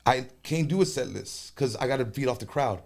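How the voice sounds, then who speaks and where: A man speaking, a conjunto band leader chatting casually as a guest, animated and joking, with quick bursts. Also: medium pitch, gravelly. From Texas. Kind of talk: casual interview chat.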